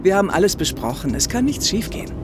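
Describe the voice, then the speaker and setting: Soothing older mom type, swingy pitch, loud music behind, radio play. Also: female voice, very animated, fast. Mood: calm, reassuring. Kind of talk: naturalistic audio drama acting.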